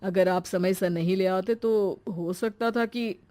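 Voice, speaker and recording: A woman voicing a calm, grave male doctor in a cartoon story, measured and explanatory. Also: medium pitch, voice pushed down, close to an everyday voice.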